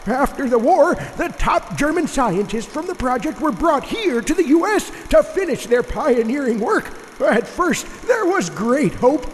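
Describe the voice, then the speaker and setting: A man playing an excitable staff scientist in a cartoon, lecturing on absurd secret projects. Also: very high voice, measured pace, heavily acted. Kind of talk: cartoon character acting. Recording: echoey hall with noise behind.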